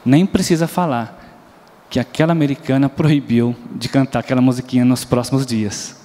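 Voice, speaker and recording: Brazilian male preacher, mellow tone, storytelling sermon, reverberant church hall. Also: medium pitch, fairly even intonation, normal speed. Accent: Brazilian Portuguese. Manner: storytelling, asks the congregation questions, sings bits of nursery rhymes.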